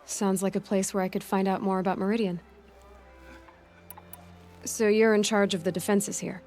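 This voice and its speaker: Woman playing a young hunter girl in a game, direct and sure of herself, medium pitch, music behind. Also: little pitch movement, normal speed, darkish tone, natural voice. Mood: firm. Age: young adult. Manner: direct, matter-of-fact. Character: determined, self-assured young female hunter. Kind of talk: dramatic video game dialogue.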